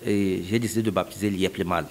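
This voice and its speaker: A man's voice: sits low, steady tone, fast, slight background noise. A middle-aged man from Cameroon answering interview questions, explaining step by step and repeating phrases for emphasis. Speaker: interviewee, company founder.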